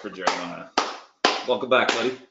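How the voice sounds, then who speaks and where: A man's voice: fairly low pitch, fairly quick, creaky, very noisy. A man co-hosting a webinar in a casual, chatty way. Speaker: webinar co-host. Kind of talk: casual chat. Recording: dull, lo-fi webinar audio.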